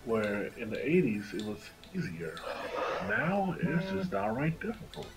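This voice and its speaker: A man chatting casually and earnestly, repeating words haltingly as he makes his point with emphasis. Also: mid-range voice, big pitch swings, music in the background. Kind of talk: casual chat.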